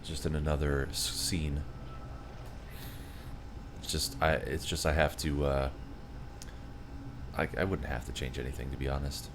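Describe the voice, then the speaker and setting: Deep-voiced man streaming a game. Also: little pitch movement, measured pace, long pauses, noisy background. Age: 30s. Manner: chatty, talks to chat viewers, reads their messages aloud. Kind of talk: casual live-stream chat while gaming.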